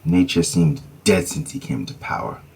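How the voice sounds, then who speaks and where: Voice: sits low, expressive, moderate pace, vocal fry, a little mellow, husky, heavily acted. A voice actor playing Macduff, a weary, grief-struck Scottish nobleman who speaks in a solemn, formal, old-fashioned way in an amateur audio drama. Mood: somber.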